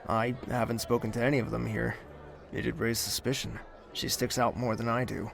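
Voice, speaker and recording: A man's voice: medium pitch, little pitch movement, normal speed, gravelly, some chatter behind, natural voice. A man playing a reserved young prince in an audio drama, speaking formally and guardedly with dry wit. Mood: reserved, wry. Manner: formal, guarded, gently teasing. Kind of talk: audio drama dialogue and narration.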